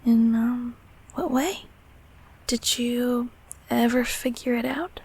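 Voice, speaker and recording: A woman voicing a gentle, protective childhood closet monster in a comfort audio roleplay, speaking haltingly in a breathy, raspy whisper. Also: on the high side, steady tone, heavily acted. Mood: tender, patient. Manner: halting, soothing, softly spoken.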